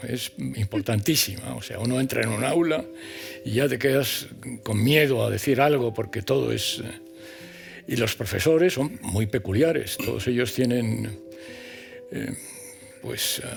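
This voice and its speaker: Older guy telling stories on a panel, gravelly voice, lots of ehs, light music. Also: medium pitch. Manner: deliberate, hesitant with many fillers. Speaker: panel guest, former professor. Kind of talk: anecdotal storytelling at a live event. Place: event hall before an audience.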